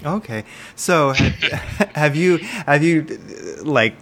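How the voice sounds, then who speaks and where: A man's voice: fairly high pitch, animated, takes it slowly, a bit bright, rough recording. A man hosting an interview podcast, speaking casually with frequent fillers and restarts. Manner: hesitant, many fillers and restarts. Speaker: podcast host.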